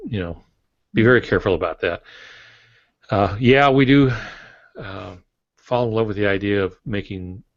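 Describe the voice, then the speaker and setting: An American man in his sixties giving plain-spoken, halting advice in a conversational podcast style on a video call. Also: sits low. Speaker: guest author and publishing expert. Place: home office, indoors. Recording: dull, roomy video-call audio.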